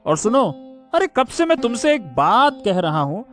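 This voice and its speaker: A man's voice: very high-pitched, big pitch swings, measured pace, faint music behind, full character voice. A man voicing a greedy, heartless, domineering elder brother in a cartoon story, with a scolding, dismissive manner. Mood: irritated. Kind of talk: Hindi moral-story cartoon dubbing.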